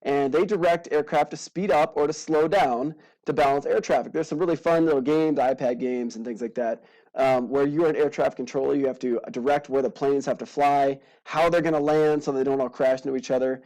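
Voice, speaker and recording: A man's voice: medium pitch, fairly even intonation, a little fast, a little mellow, low-quality recording. A man giving an informal aviation lesson as an instructor, with casual, playful asides. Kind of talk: informal aviation lesson narrated over slides.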